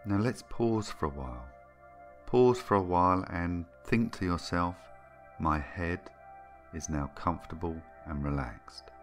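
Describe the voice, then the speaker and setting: A man with a very low voice leads a guided meditation. He speaks soothingly and gently, taking his time and leaving space between phrases. Music plays underneath his voice. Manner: soothing, gentle, unhurried with long pauses. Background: background music.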